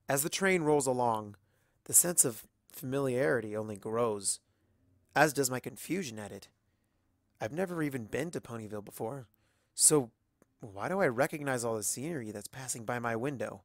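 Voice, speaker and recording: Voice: medium pitch, animated, moderate pace, no echo, studio quality. A narrator reading a first-person story expressively, as in an amateur audiobook. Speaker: fan fiction reader.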